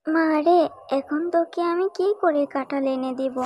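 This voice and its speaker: A woman as a high, mellow-voiced mother fox. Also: normal speed, a little hiss or hum, lightly acted. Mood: patient, apologetic. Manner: motherly, explaining patiently. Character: poor, patient mother fox, gently refusing. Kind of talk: children's cartoon story voice acting.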